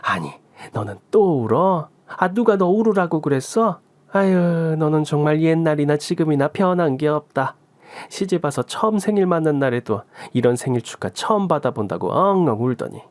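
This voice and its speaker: A man's voice: fairly high pitch, expressive, normal speed, no echo, lightly acted. A man voicing an affectionate, bossy elderly mother-in-law who scolds fondly, in a radio story. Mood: fond scolding. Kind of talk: Korean radio story acting.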